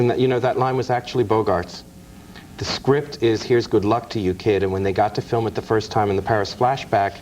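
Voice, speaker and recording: Male author guest, lowish voice, explains at length, faint hiss. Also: a little mellow, poor audio. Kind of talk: interview answers.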